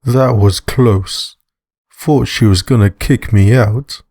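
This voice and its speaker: A man's voice: fairly low pitch, takes it slowly, warm tone, dry recording. A man voicing a nervous amateur sleuth in a visual novel, hesitant and muttering to himself. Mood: relieved, focused. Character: Dag, nervous male amateur sleuth thinking aloud.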